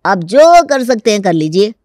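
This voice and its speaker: A man's voice: sits high, lively intonation, normal speed, darkish tone, dry recording, studio quality. An actor playing a stubborn, crafty young village man who rents a room, folksy and mock-polite as he argues in a comic story. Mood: indignant, defiant. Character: stubborn, crafty young rural male tenant with cattle. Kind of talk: Hindi comic story dramatization.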